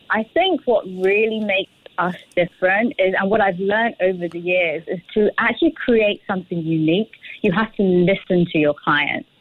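A woman's voice: lowish voice, no room sound. A woman from the UK talks frankly and articulately as a radio guest, now and then poking fun at herself. Her voice comes through thin and phone-like, with a dry, close sound. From United Kingdom. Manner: frank, articulate, self-deprecating. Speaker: guest interior designer. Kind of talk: radio interview.

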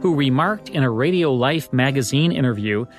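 A man's voice: medium pitch, expressive, normal speed, soft background music. An American man reading scripted narration as the host of a radio show.

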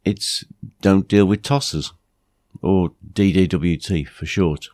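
A British man hosting a podcast with a blunt, plain-spoken manner, his voice croaky from flu. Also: low-pitched, slow pace, darkish tone, no echo, clean studio sound. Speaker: podcast host. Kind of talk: podcast monologue, anecdotes and advice.

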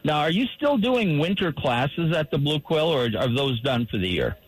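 Radio host, male, slightly higher voice, a little hoarse, easygoing conversational delivery. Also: sing-song, moderate pace, poor audio. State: losing his voice, clearing throat. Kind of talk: casual fishing talk on radio.

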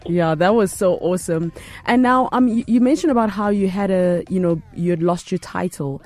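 A woman's voice: on the low side, moderate pace, a little mellow, dry recording, soft background music. A woman interviewing a guest in a casual, chatty way, full of fillers and restarts. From family from Uganda.

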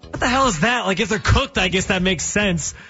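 A young man runs a call-in sports radio show, his high, bright voice cracking now and then as he jokes his way through opinions. The broadcast sound is a little muffled, with faint music underneath. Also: animated. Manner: casual, joking, quick opinions. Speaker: fill-in radio host.

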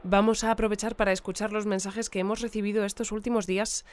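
Actor as male radio presenter, high voice, hesitant, tactful, audio drama. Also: flat delivery, fast, clear, resonant tone, no echo, lightly acted. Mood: awkward, tactful. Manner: hesitant, euphemistic.

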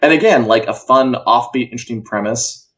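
A man's voice: mid-range voice, brisk, a bit bright, dull audio. A man co-hosts an entertainment news show, chatting casually with a wry, conversational manner.

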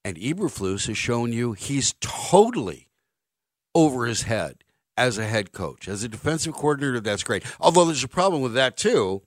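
A man hosts sports talk radio, his delivery blunt and sarcastic. His pitch swings widely as he rants. The studio mic sounds close and dry. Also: very animated, normal speed, no room sound. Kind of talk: opinionated sports radio monologue. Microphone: studio microphone.

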